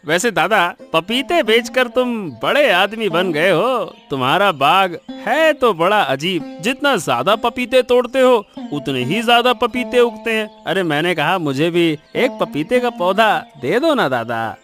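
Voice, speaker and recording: A man's voice: sits high, big pitch swings, unhurried, hardly any pauses, fairly bright tone, soft background music, full character voice. A man voicing a chummy, envious villager friend in a Hindi cartoon moral story, teasing and familiar as he wheedles. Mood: wheedling.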